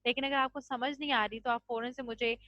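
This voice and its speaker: A woman teaching an English grammar class online, explaining slowly and checking in with "ठीक है" after each point. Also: fairly high pitch, fairly even intonation, fairly bright tone, no room sound. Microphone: computer mic on a video call. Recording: dull, dry video-call audio.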